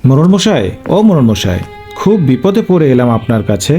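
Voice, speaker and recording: A man's voice: medium pitch, big pitch swings, a little fast, warm tone, soft background music, close to an everyday voice. A man voicing a kind, hard-up young village entertainer in a cartoon story, speaking earnestly and pleadingly.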